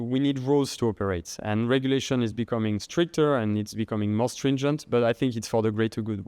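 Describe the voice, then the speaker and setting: A middle-aged man from France with a French accent, a guest in a podcast interview, speaking haltingly with many restarts. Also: medium pitch, darkish tone, smooth, dry recording. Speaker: guest, head of treasury and trading.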